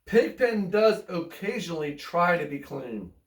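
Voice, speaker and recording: High-pitched, animated man reading a bedtime story. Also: a little slow. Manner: folksy, playful, repeats himself. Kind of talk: reading a children's picture book aloud with chatty asides. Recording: roomy, echoey, distant microphone.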